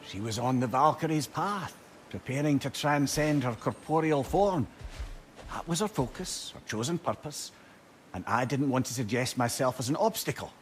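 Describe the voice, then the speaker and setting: A man performs an old Scottish advisor from a video game, wistful and wry. His middle-pitched voice swings expressively as he spins lyrical recollections. Faint ambient noise sits behind it. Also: expressive, normal speed, close to an everyday voice. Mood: reflective. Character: witty, wistful old male advisor reminiscing about love. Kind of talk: storytelling video game dialogue.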